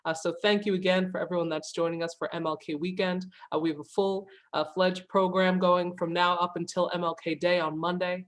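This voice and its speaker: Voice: mid-range voice, little pitch movement, brisk, few pauses. An event host giving an informal, welcoming announcement to online viewers. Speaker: host of a museum youth program.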